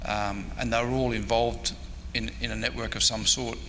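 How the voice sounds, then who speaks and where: A middle-aged man answers audience questions modestly after a lecture. His voice is bright and sits at a middling pitch. The room has a long reverb with faint noise. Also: little pitch movement, normal speed. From Australia. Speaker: historian and archivist giving a talk. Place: hotel conference room. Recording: echoey hall with faint noise.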